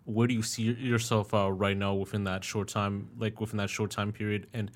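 A young man hosts a casual podcast interview. His voice sits low and stays flat, and he rambles through loose, filler-heavy questions in a laid-back way. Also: brisk. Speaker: podcast host.

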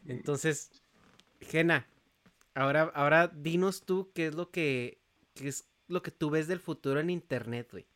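A man in his thirties with a Mexican accent, hosting a relaxed casual chat and asking the others questions. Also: on the high side, a little slow. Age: 30s.

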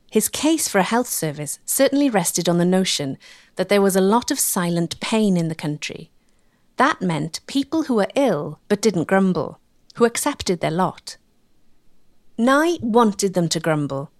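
Expressive woman narrating a documentary. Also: mid-range voice, no echo, clean studio sound.

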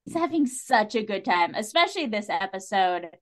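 A woman chatting casually on a podcast, retelling things in an animated way and acting out imagined lines. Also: medium pitch, normal speed. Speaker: podcast panelist. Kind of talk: casual chat discussing a TV series.

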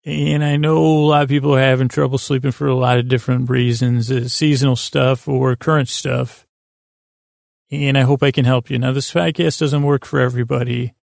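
Creaky, gravelly man rambling softly along. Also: mid-range voice, steady tone, no room sound, studio quality. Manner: meandering, halting, self-interrupting. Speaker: podcast host. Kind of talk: rambling sleep podcast monologue.

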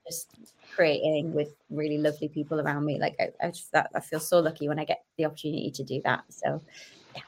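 A woman's voice: sits low, level tone, quick pace, a little mellow, low-quality recording. A woman podcast guest, an actress and comedian, chatting casually and telling anecdotes, often acting out the dialogue.

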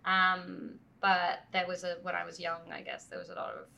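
A woman, answering interview questions thoughtfully with many pauses and restarts, at a conference venue. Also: lowish voice, monotone, creaky, sharp tone. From France. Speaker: guest. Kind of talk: conversational interview. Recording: faint background ambience at a summit.

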